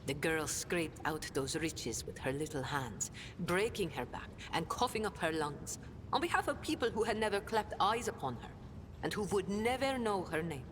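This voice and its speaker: An actress playing a hardened, defiant lowborn smuggler and ex-soldier in a video game, blunt and sardonic. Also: female voice, expressive, normal speed, close to an everyday voice. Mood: bitter, mocking. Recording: long reverb, loud rumbling noise behind.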